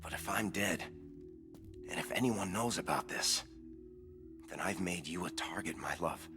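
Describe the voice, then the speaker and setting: Game role: tender dying lover, low-pitched, hushed and faltering, music underneath. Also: male voice. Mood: grave, regretful. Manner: hushed, halting, breathy delivery. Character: dying tender male lover leaving a last recorded message. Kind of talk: dramatic video game acting. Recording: dramatic music behind the voice.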